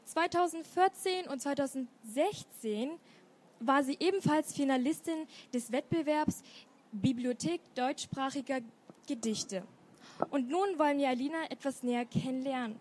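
Eine Frau, die als Moderatorin eine Autorin auf einer Buchmesse vorstellt und ihr Fragen stellt. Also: female voice, high-pitched, moderate pace, a little mellow, slight background noise. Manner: reads intro, asks friendly questions. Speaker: event moderator. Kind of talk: book fair interview.

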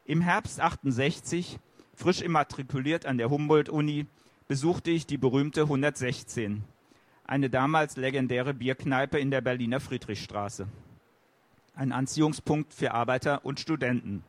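Male journalist reading a text, mid-pitched, dry close recording. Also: moderate pace, no echo. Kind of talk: reading an essay aloud.

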